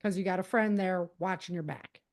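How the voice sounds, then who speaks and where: A woman's voice: fairly low pitch, a little fast. A woman speaking as a podcast guest, giving practical advice in a casual, conversational way and explaining things with animation.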